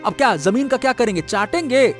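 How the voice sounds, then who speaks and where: A man playing a naive, excitable village farmer father in a cartoon, flustered and quick to scold. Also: very high voice, soft background music. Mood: angry, scolding. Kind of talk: Hindi cartoon voice acting.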